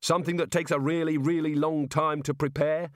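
Guy doing a gloomy sarcastic doomed wizard for an audiobook, hapless, exasperated, bit high, very dry recording. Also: little pitch movement, a little fast, no room sound, natural voice.

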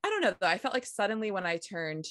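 A woman's voice: mid-range voice, big pitch swings, fast, a bit bright, dry recording. Chatty young woman interviewer, dry sound. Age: 20s. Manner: chatty, relatable, filler-heavy. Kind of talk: casual interview chat.

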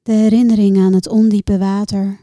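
A woman reciting her own poems in a measured, contemplative way, with deliberate pauses between short phrases. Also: mid-range voice, little pitch movement, warm tone, no echo, clean studio sound. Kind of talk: poetry recitation.

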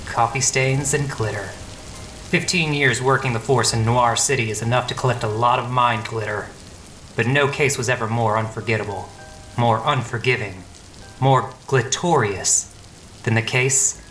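A man playing a weary, melodramatic police detective in a parody noir radio play, wordy and deadpan. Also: mid-range voice, measured pace, roomy sound, a little hiss or hum, lightly acted, rough recording. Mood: brooding.

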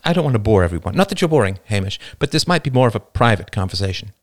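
A man voicing a gentle, earnest and inquisitive young woman with a soft, concerned manner. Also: fairly low pitch, sing-song, very fast, no room sound, clean studio sound. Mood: gentle, curious.

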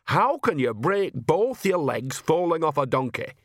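A man's voice: a little high, big pitch swings, a little slow, no echo, studio quality, voice pushed up. A man voicing an earthy, shrewd old woman witch with a blunt, folksy, teasing manner in an audiobook. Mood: indignant.

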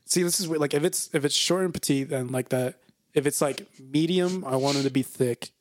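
A man's voice: fairly high pitch, a little fast, dry recording. A man in his twenties co-hosting a podcast with casual, crude banter, rambling and hedging, swearing freely and close on the mic. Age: 20s. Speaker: podcast co-host.

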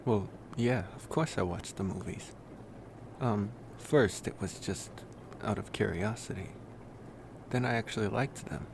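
A man playing a laid-back, wry college-age vampire in an intimate ASMR roleplay, rambling casually and hesitantly with sighs and pauses. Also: lowish voice, gravelly, background noise.

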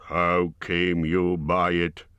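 A proud, wrathful dwarf king with a deep, low voice that drags out each stern word, as a man reads him aloud. He speaks slowly and forcefully, and the sound is dry and close. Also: no room sound, highs cut off. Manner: slow, stern, forceful delivery.

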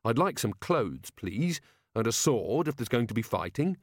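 A man voicing a curt, practical werewolf woman with a brisk, snappy manner. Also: mid-range voice, sing-song, dry recording, studio quality. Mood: snappish.

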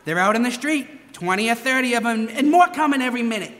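An actor playing a nervous, complaining small-time pool hall owner in a live radio crime drama. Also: male voice, very high voice, expressive, fast, fairly bright tone. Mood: worried. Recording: live stage in echoey hall.

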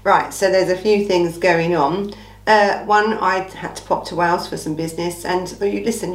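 A woman with an English accent and a slightly croaky, under-the-weather voice, hosting a casual true-crime Q&A in an informal, emphatic way with rhetorical asides. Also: lowish voice. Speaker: true-crime channel host. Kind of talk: casual chatty Q&A monologue. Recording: echoey room.

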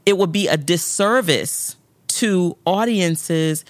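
A woman's voice: lowish voice, takes it slowly, no room sound. A woman, an actress, as a guest on an interview podcast, telling stories animatedly and acting out quotes with big jumps in her voice, in a studio. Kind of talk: interview storytelling.

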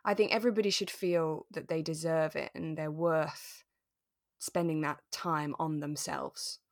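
A woman's voice: sits low, steady tone, unhurried. A woman co-hosting a podcast, chatting casually with an earnest, enthusiastic manner. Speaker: podcast co-host. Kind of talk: casual chat about a radio drama.

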